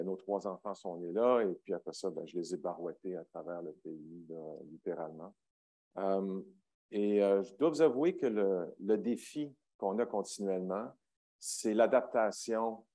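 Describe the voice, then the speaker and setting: A man's voice: sits low, warm tone. A man speaking on a panel, hesitant with many fillers. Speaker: broadcaster panelist. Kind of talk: panel talk.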